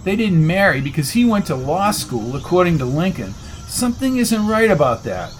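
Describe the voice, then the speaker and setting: Man playing a cocky coach, slightly high-voiced. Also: sing-song, moderate pace, slight background noise. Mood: earnest, probing. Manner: sardonic, probing, confident. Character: Jones, cocky, needling male football coach and amateur sleuth.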